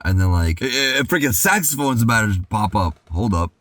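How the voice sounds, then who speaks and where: A young man chatting casually as he reacts to music, rambling through his thoughts with plenty of filler. His pitch leaps up and drops down all the time. His voice sounds a little worn, and the recording is dry and close with no room sound. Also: a little fast, dry recording. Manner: rambling and excitable, full of "dude" and "like"; loud sudden outbursts when hyped. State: voice worn from singing practice.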